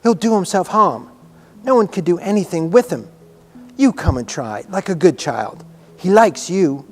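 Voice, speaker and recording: A man's voice: a little high, expressive, darkish tone, faint music behind, lightly acted. A man voicing a flustered, frightened female nurse in a storybook, with an anxious manner. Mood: hurried, anxious. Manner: hurried, anxious.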